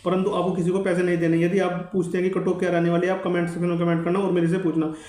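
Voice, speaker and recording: A man's voice: sits high, level tone, racing, darkish tone. A man giving an informal advisory update to viewers, insistent, earnest and repetitive. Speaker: exam update YouTuber. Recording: echoey room.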